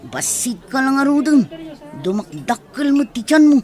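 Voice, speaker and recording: A woman's voice: high voice, big pitch swings, normal speed, darkish tone, faint music behind. A woman with an Ilocano accent playing a blunt, suspicious older village relative in a radio comedy drama. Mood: scolding, suspicious.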